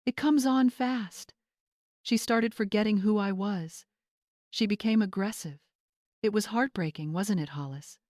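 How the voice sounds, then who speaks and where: A woman's voice: mid-range voice, a little slow, darkish tone, dry recording, studio quality, voice pushed up, natural voice. A woman voicing a scheming, imperious bride in an audiobook, theatrical and syrupy with a snappish edge. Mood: falsely sorrowful. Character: scheming social-climbing bride, fake-sweet and imperious.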